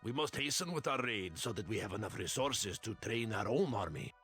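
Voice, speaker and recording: Gravelly, wary war scout. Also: male voice, on the low side, lively intonation, fairly quick. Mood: wary, purposeful. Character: cautious male barbarian war scout advising the raiders. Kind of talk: scripted game mission briefing. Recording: loud music under the voice.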